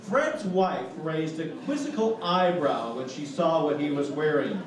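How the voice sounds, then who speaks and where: A man announces spelling bee words on a handheld mic, slow and theatrical, a touch high. He is heard from far off in a very echoey hall. A crowd murmurs loudly behind him. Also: animated. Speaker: spelling bee word caller. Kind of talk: announcing to an audience. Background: crowd chatter.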